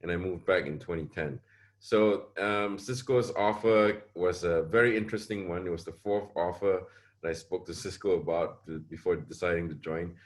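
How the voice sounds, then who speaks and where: A man's voice: low voice, moderate pace. A middle-aged man from Singapore, a panelist speaking on a webinar video call, answering at length with frequent hesitations and repeated words. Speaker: panelist, security advisor.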